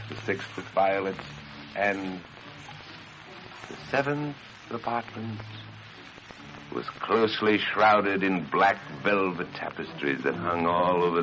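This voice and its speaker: A man narrating a gothic horror story in a solemn, theatrical old-time radio style, with deliberate, dramatic phrasing. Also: low voice, dull audio. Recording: band-limited old radio sound with music. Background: music.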